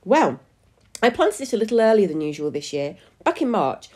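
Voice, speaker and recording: Woman doing a competitive storybook dad, blustery and excitable, scornful, pitch all over the place. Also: normal speed, slightly rough. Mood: boastful.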